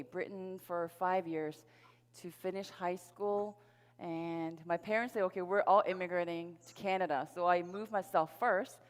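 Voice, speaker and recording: A middle-aged woman from South Korea, a native Korean speaker, giving an upbeat motivational presentation to an audience, telling her personal story. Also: fairly low pitch. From Seoul, South Korea. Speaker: sales presenter at a network marketing event. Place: large event hall on stage. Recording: reverberant hall PA sound.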